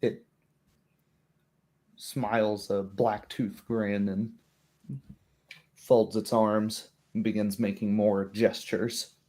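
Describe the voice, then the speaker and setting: A man narrating a tabletop roleplaying game as game master, describing scenes slowly and in detail. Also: lowish voice, little pitch movement, warm tone.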